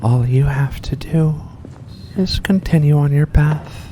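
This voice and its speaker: A performer voicing a mysterious, seductive vampire in a slow, deliberate ASMR roleplay, courteous and teasing. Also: mid-range voice, mellow, natural voice. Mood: eerie, hypnotic. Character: seductive mysterious vampire host luring in a guest. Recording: faint background noise.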